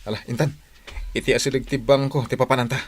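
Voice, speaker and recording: An actor playing a furtive accomplice thief in an Ilocano radio drama. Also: male voice, medium pitch, level tone, fast talker, natural voice. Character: furtive accomplice thief plotting with his buddy.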